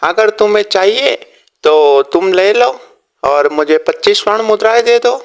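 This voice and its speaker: A greedy merchant from a cartoon folk tale, acted out by a male storyteller. His voice is pitched well up high, sly and wheedling. He draws out his folksy greetings and exclamations. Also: lively intonation, normal speed. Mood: persuasive selling.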